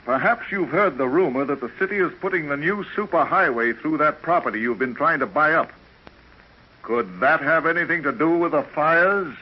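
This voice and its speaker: No-nonsense cop hero, husky medium voice, muffled old radio crime show. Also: male voice, on the high side, animated, moderate pace. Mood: probing. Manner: firm, no-nonsense. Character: stern, determined police detective hero. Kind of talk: 1940s radio acting.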